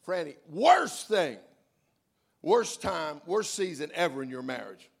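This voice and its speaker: A man's voice: fairly high pitch, very animated, a little slow, gravelly, breathy edge. A man preaching as pastor, who questions a couple on stage and turns emphatic as he drives his points home. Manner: emphatic, swings from quiet to loud. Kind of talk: sermon with interview on stage.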